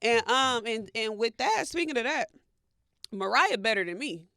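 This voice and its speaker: Bright-voiced blunt American woman, close and dry. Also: on the high side, expressive, moderate pace, no echo. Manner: blunt, slangy. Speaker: talk show co-host. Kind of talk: casual culture gossip chat.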